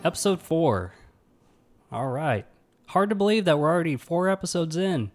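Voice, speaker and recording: A man's voice: fairly high pitch, very animated, moderate pace, soft background music. A man with an Alabama accent hosting his own podcast, talking earnestly in a rambling way full of fillers and restarts. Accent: Alabama Southern. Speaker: podcast host, pathology resident. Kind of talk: casual solo podcast monologue.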